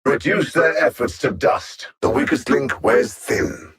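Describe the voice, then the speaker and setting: A man playing a cold, condescending alien machine-intellect villain in a video game, speaking in a haughty, formal, measured way with a gravelly, husky voice. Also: mid-range voice. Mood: imperious, calculating.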